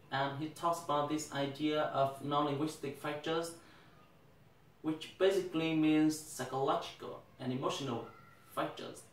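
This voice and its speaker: A young man from Vietnam with a Vietnamese accent, an English teacher giving an earnest, deliberate prepared speech. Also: fairly high pitch, a bit bright, a little hiss or hum. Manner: earnest, deliberate, occasional restarts. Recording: echoey room, mic at a distance.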